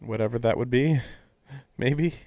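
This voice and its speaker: A man's voice: mid-range voice, a little slow, creaky, no room sound, highs cut off. A man doing casual livestream commentary and reading aloud, puzzled and musing as he goes. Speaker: livestreamer playing a visual novel. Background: game music.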